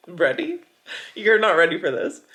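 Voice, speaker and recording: A woman's voice: medium pitch, low-quality recording. Gushing young woman in casual vlog chat. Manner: gushing, animated, dramatic emphasis; frequent filler "like". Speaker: book reviewer vlogger.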